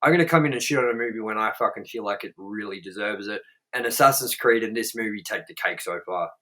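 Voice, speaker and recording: A man's voice: on the low side, fast, bright, forceful tone. A man with an Australian accent, co-hosting a movie review chat, blunt and profane, rambling with lots of fillers.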